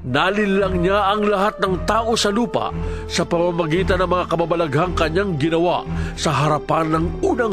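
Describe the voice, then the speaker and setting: A man narrating a Bible audio drama in a solemn, deliberate way, with dramatic swells in his delivery. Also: fairly high pitch. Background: music.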